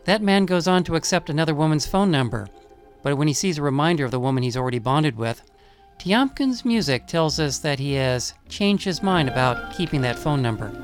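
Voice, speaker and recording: A man narrating a scripted essay on film music in a measured, explanatory manner. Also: fairly high pitch, lively intonation.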